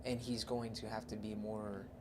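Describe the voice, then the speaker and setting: A man's voice: lowish voice, flat delivery, moderate pace, background noise. A man in a casual podcast discussion, reasoning through his counterpoints step by step. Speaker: podcast co-host. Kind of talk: casual discussion about movies.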